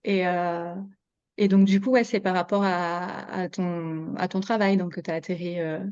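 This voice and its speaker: A woman's voice: on the low side, fairly even intonation, normal speed, darkish tone, clear, resonant tone, lo-fi sound. A woman hosting a podcast and chatting with a guest. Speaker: podcast host and interviewer. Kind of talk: scripted intro, then casual interview chat.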